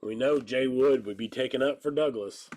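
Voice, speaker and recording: A man in his thirties with a stuffy nose and slightly hoarse voice, chatting casually and joking while he hosts a live card break. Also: medium pitch, normal speed, a little mellow. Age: 30s. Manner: rambling, joking, calls out card pulls. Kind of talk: casual live-stream chat while opening cards.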